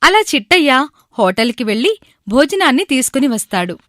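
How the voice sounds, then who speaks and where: A woman's voice: high voice, sing-song, normal speed, fairly bright tone, no room sound, clean studio sound. A woman reading a scripted narration of a moral story.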